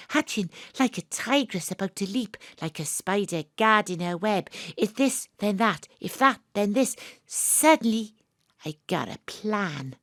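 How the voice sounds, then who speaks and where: A woman's voice: mid-range voice, a bit bright, no echo, clean studio sound. A woman acting an eccentric amateur detective who narrates her investigation in an audio drama, theatrically and with dramatic repetition. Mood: urgent, scheming. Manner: theatrical, breathless self-talk with dramatic repetition. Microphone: close home studio microphone.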